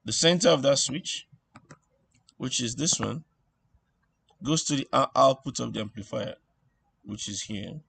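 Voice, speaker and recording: A man giving an informal electronics tutorial, thinking aloud in a halting way and repeating phrases as he works things out. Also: medium pitch, creaky, a bit bright, slightly rough. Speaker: instructor.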